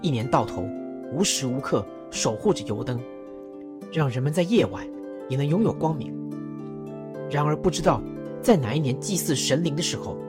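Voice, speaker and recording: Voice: mid-range voice, lively intonation, moderate pace, long pauses, music in the background, natural voice. A performer voicing a learned male court advisor who explains a folk legend in a radio drama. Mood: explaining. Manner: explaining, storytelling.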